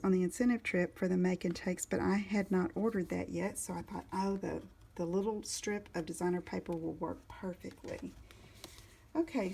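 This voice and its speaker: Unhurried woman demonstrating a craft. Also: medium pitch, darkish tone, a little hiss or hum. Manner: unhurried, talks while working, stops to search for supplies. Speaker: card-making demonstrator. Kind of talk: casual step-by-step craft tutorial.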